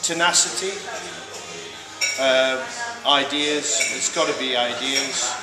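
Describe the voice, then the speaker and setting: A man's voice: mid-range voice, takes it slowly, music in the background. Chatty Scottish man in an echoey room. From Scotland. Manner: hesitant, many fillers, chatty. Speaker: interviewee, creative director. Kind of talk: casual interview.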